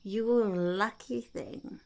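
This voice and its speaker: Woman doing a croaky, whispering smug goblin. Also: mid-range voice, extremely slow. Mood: smug advising. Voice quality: croaky, creaky half-whisper. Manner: self-important, refers to herself in third person. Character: smug, blunt female goblin peddling misfortune cookies. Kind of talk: ASMR roleplay, soft-spoken and whispered.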